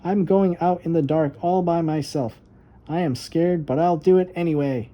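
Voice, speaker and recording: A man's voice: a little high, moderate pace, dull audio, voice pushed up, lightly acted. A man voicing an earnest, determined little girl in a children's storybook read-aloud.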